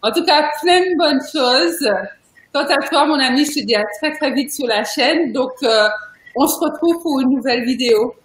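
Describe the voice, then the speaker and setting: A woman's voice: sits high, moderate pace, reverberant, rough recording. A woman hosting a casual video interview, chatty and emphatic, laughing often. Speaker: interview host.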